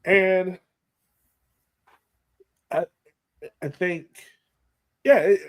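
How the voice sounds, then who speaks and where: A man with an American accent chatting casually as a sports podcast co-host, animated and self-deprecating, often thinking aloud. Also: sits high, very slow.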